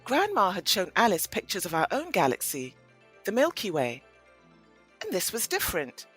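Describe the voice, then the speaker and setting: A woman tells a scripted story as an audio play narrator. Her intonation is lively and varied, and faint music sits behind her. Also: mid-range voice, moderate pace, husky.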